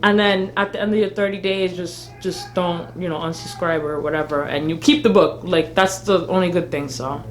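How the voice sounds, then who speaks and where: A woman's voice: on the low side, fairly even intonation, normal speed, few pauses, slight background noise. A woman co-hosting a casual live fan chat, giving informal opinions and swearing freely. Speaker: fan vlog co-host.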